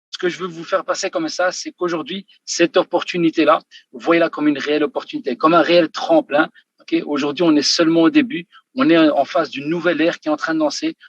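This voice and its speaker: A man giving an emphatic motivational sales pitch on a video call, often checking in with the audience by asking "ok?". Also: slightly rough, dry recording. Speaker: company manager giving a testimony. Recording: dull, dry video-call audio.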